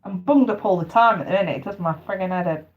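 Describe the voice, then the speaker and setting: A woman's voice: fairly low pitch, fast, dull audio, a little hiss or hum. A woman, casually hosting a live tarot stream, chatty and talking straight to her viewers, her voice bunged up with congestion. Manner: chatty, addresses viewers directly.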